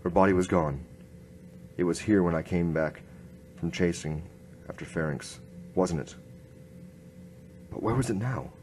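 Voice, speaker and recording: Low male voice, grim noir detective acted by a man, deadpan narration, radio drama, slight background noise. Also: measured pace, pauses often. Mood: tense, suspenseful. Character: hard-boiled male noir inspector, terse and grim, narrating his case. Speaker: narrator and lead actor.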